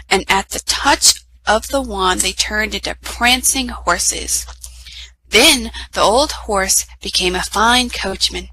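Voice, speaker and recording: Lady doing story time, slow and bright, bouncy sing-songy reading. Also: mid-range voice, breathy edge, low-quality recording. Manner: expressive, sing-song storytelling with small slips. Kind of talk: reading a picture book aloud.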